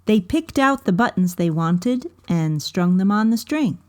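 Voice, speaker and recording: Woman reading a story out loud, slow and measured, lots of pauses, medium pitch. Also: expressive. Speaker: volunteer coordinator reading a story aloud. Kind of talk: storybook reading, scripted narration.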